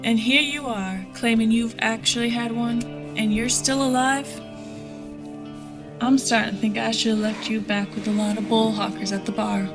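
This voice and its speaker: A woman's voice: a little high, steady tone, normal speed, close to an everyday voice. An actress playing a sly, hard-bitten female bounty hunter in an audio drama, cool, sardonic and taunting. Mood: skeptical, menacing. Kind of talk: dramatic audio fiction acting. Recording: music under the voice.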